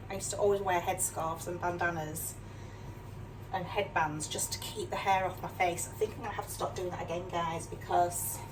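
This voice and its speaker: An older woman with a mixed Yorkshire accent chats away while she cooks at home, poking fun at herself and ending her lines with little questions. She sits some distance from the mic. Steady background noise hums behind her. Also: lowish voice. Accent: mixed northern English, Yorkshire. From Yorkshire, England. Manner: chatty, self-deprecating, asks tag questions. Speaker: cooking vlogger. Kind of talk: casual chatty cooking demonstration. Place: home kitchen.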